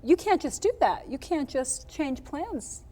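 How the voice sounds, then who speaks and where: A woman hosting a televised interview on a campus film set, lively and quick to laugh, often restarting her sentences. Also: high voice. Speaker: TV interview show host.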